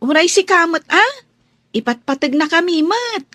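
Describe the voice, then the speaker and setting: A woman's voice: very high voice, big pitch swings, moderate pace, pushed and bright, no echo, full character voice. A woman with a Filipino accent acting a sweet, affectionate young child in a radio drama. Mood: earnest, affectionate.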